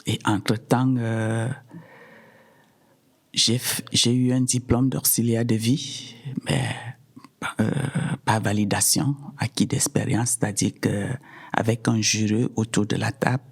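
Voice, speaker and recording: A middle-aged woman, giving personal testimony in a halting way, often repeating words. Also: a bass voice, flat delivery, vocal fry, slightly rough. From Cameroon. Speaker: podcast guest.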